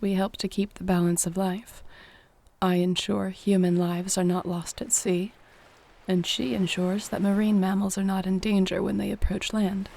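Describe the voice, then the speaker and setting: Serene female mermaid played by a woman for sleep audio: mellow, soft-spoken, gently formal, unhurried soothing delivery. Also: lowish voice, gravelly. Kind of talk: sleep-aid audio roleplay, soothing bedtime monologue. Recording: faint ambient ocean noise behind.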